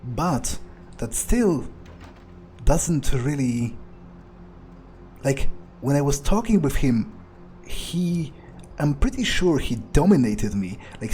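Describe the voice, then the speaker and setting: A man role-playing a cynical vampire underling in a video game playthrough, with a husky voice and a halting, muttering manner. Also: medium pitch, lively intonation, mellow, soft background music. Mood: bitter, musing. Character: cynical, foul-mouthed, hesitant male vampire underling. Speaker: player role-playing his game character. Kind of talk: improvised in-character game playthrough.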